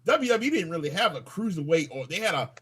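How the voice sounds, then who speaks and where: A man in his thirties hosting a casual wrestling chat, excitable and slangy, with plenty of swearing. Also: fairly high pitch, fast, crowd noise. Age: 30s. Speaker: podcast host.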